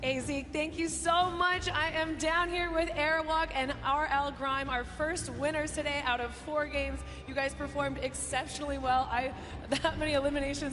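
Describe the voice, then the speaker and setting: A woman's voice: sits high, long reverb tail. A woman interviewing winners on the floor of a live esports event, upbeat. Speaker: sideline interviewer.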